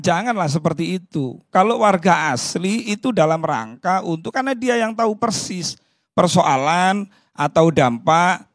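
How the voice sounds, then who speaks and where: A man arguing for local residents on a talk show, earnest and rebutting, circling back to the same phrases. His voice is a touch high and recorded dry and close. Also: dry recording. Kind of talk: heated debate.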